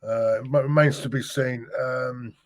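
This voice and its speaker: A man hosting a casual football chat show who reads out news items and puts questions to his guest, speaking haltingly with frequent fillers. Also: medium pitch, steady tone. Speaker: host.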